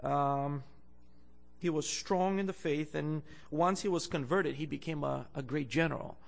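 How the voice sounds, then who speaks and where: Gravelly-voiced man from Bulgaria preaching. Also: fairly high pitch, measured pace. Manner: hesitant, many fillers, louder when preaching and reading scripture. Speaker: guest preacher. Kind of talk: sermon. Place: church.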